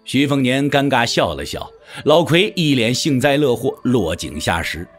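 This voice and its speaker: A man with a husky voice giving dramatic narration for a wuxia audio drama. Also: medium pitch, big pitch swings, moderate pace, faint music behind.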